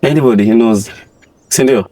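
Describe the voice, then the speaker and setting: A man's voice: medium pitch, brisk, warm tone, no echo. Playful young man hosting. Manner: playful, teasing, laughing often. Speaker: host. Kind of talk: casual on-campus interview. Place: university campus.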